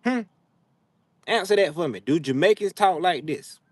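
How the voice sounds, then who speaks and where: A young man with chats live with his viewers and teases them with loose, animated trash talk. His voice sits a little higher than most men's. The sound is close and dry, with no room echo. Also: normal speed. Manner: playful trash talk, animated, drawling slang. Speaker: livestream host. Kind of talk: casual live chat with viewers. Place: outdoors, frogs nearby.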